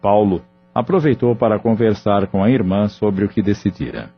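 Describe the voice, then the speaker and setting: A man narrating an audio drama in a steady, measured way. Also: lowish voice, breathy edge. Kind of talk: scripted narration. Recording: dull lo-fi audio with faint music.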